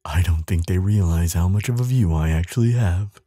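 A man playing a gentle, affectionate giant in an intimate ASMR audio roleplay, soothing and earnest, murmuring tenderly with soft hums and sighs. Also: deep voice, fairly quick, breathy edge, no echo, clean studio sound. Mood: earnest, thoughtful.

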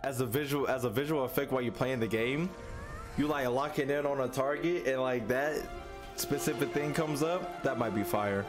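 A man's voice: medium pitch, normal speed. A young man giving casual reaction commentary in slangy speech, repeating phrases in bursts. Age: young adult. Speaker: reaction video host. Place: small room indoors at a streaming setup. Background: music from the trailers he watches.

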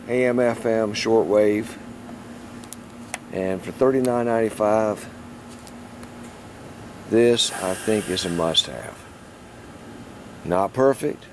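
A man with a mid-range voice hosts an informal hands-on review. His delivery is folksy and halting. It sounds like a home camera recording, with clear noise in the background. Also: medium pitch, darkish tone. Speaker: host of a product review video channel. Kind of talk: casual hands-on product review. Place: at a tabletop, later out in a backyard.

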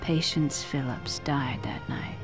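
An actress playing a mysterious, brooding feline antiheroine, narrating her own dark story in a breathy voice for a video game. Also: low voice, steady tone, takes it slowly, over music.

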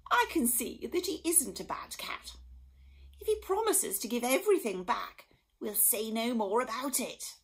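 A woman voicing an indignant old queen who speaks loudly in a high, haughty voice. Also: lively intonation, a little slow, husky, heavily acted. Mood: imperious.

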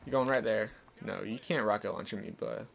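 A man's voice: fairly even intonation, a little fast, gravelly, slight background noise. A young man giving casual, chatty commentary over his gameplay, explaining tips as he plays. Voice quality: deeper voice. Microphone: desk condenser mic. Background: soft background music.

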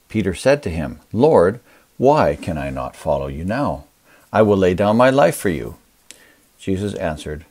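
A man's voice: low voice, vocal fry, a little mellow. A man reading scripture expressively, with narration and dialogue. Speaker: scripture reader.

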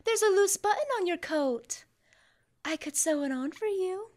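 A young woman acting a coy, lovestruck shop lady in an old-time radio comedy, gushing deliberately. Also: very high-pitched, lively intonation, a little mellow. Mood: smitten. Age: young adult. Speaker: high school student actor. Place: school library room, live staged radio show. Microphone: shared stand microphone.

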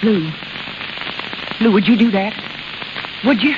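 A woman's voice: mid-range voice, slow, natural voice. An actress playing a jilted, scheming carnival woman in slangy American 1930s radio drama. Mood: scheming, coaxing. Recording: muffled, hissy, crackling 1930s radio recording.

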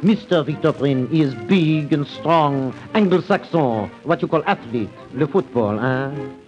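An actor playing a fussy, excitable French hotel manager with a French accent and broken English in a radio play. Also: male voice, a little high, unhurried, highs cut off, soft background music. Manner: theatrical, exclamatory, broken English.

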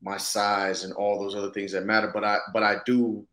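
Low-pitched man, reflective and casual. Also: level tone, fairly quick, fairly bright tone. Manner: thoughtful, casual, punctuated with "you know what I'm saying". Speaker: actor, interview guest. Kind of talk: reflective conversational interview. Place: indoor room, video call. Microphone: video call, seated close to the camera.

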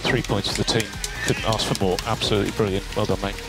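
A man's voice: lowish voice, level tone, quick pace. A man working as a race engineer, giving briefings over team radio. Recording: radio transmission under loud music. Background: loud music and car noise.

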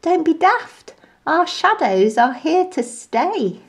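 A woman voicing an excitable, easily alarmed young male monkey in a children's storybook. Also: high voice, slow, voice pushed up, heavily acted. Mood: amused teasing. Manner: exclaiming, excitable.

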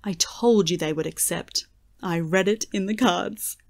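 Solemn, lowish-voiced fortune-teller played by a woman. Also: unhurried, creaky. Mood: assured. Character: solemn female fortune-teller of a decaying funfair.

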